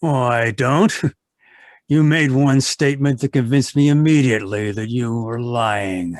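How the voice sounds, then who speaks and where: A man's voice: medium pitch, unhurried, no echo, close to an everyday voice. A man acting as a polite, probing newspaper reporter in an old-time radio mystery. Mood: coolly accusing. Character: inquisitive, polite but shrewd male newspaper reporter. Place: remote video call performance. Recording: live video-call recording, dry.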